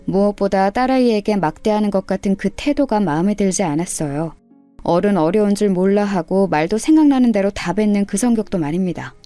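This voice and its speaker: A woman's voice: mid-range voice, fairly quick, warm tone, no room sound, soft background music. A woman reading a scripted first-person life story in a radio story style. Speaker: storyteller narrator.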